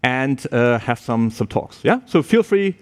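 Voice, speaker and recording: A man's voice: mid-range voice, sing-song, unhurried, clean tone. A man speaking informally to prospective students at a university open day, loose and chatty, with many restarts and "yeah?" tags.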